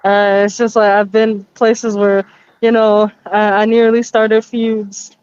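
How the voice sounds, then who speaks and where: A woman's voice: mid-range voice, flat delivery, slow pace, darkish tone, smooth, no echo. A woman chatting casually in a tentative, questioning way over a voice chat. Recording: dull, lo-fi voice chat.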